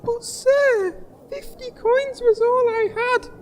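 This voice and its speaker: A man's voice: extremely high pitch, steady tone, takes it slowly, slight background noise. A man voicing a meek, naive little sidekick in a comedic fantasy audio drama, whiny, plaintive and politely deferential. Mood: hurt, tearful.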